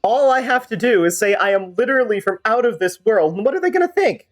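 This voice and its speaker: A man's voice: high voice, lively intonation, quick pace. A man livestreaming a game, talking in a casual, rambling, chatty way and slipping into playful role-play. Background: game music.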